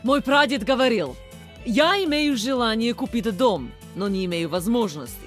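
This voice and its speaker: A woman's voice: on the high side, lively intonation, moderate pace, lightly acted. A woman playing a strong, proud Russian soldier in a video game, with a Russian accent. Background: music.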